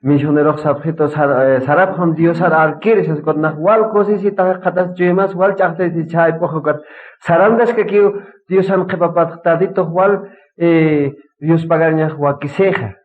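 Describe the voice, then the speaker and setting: A man's voice: on the high side, unhurried, lightly acted. A man playing a church brother addressing his congregation in a radio drama, with a Bolivian Aymara accent. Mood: respectful. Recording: tinny phone-like band.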